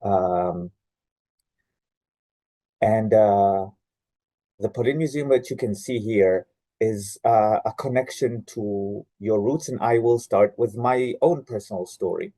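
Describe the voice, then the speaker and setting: A man's voice: lowish voice, takes it slowly, pauses often, mellow, no echo. Hesitant Israeli-born man presenting online. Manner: hesitant, many "uh" fillers and restarts. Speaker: genealogy researcher presenting a webinar. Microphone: video call.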